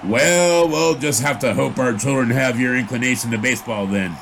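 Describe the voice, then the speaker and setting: An actor playing an earnest young suitor who rambles eagerly and stammers in a period audio drama. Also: male voice, mid-range voice, normal speed, gravelly, a bit bright. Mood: nervous, eager. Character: earnest, babbling young 1920s suitor and announcer. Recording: noisy outdoor ambience behind.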